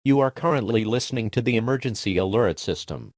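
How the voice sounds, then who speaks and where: A man reading a scripted emergency alert as a formal, measured broadcast announcer. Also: medium pitch, animated, no room sound, studio quality. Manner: formal, measured official delivery.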